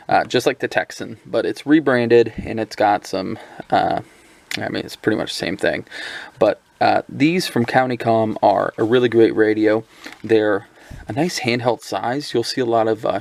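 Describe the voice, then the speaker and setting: A man's voice: mid-range voice, creaky. A man giving a casual hands-on review of a handheld radio, talking loosely with lots of ums and restarts. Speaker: product reviewer.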